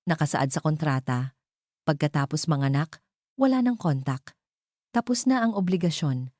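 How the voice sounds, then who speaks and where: A woman's voice: deep voice, warm tone, no echo, clean studio sound. A woman with a Filipino accent narrating a scripted dramatic story as a storyteller.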